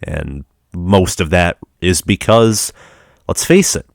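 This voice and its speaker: A man's voice: deep voice, expressive, slow pace, vocal fry, no room sound, studio quality. A young man with a stuffy, congested voice, chatting casually as a fan about college football recruiting. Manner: chatty fan talk with restarts. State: congested from a cold. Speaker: sports vlogger.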